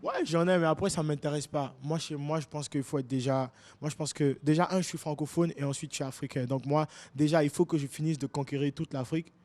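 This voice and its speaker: A young man from Guinea talks as an artist being interviewed. His voice is a little higher than most men's. He speaks casually, often restarting and rewording his answers. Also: fairly quick, hardly any pauses, a little mellow.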